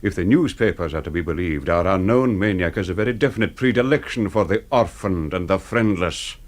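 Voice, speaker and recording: The older master of a secretive house in a radio play. His voice is very low and he speaks formally, with measured, cool condescension and a threat beneath the politeness. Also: animated. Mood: quiet menace. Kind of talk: radio drama acting.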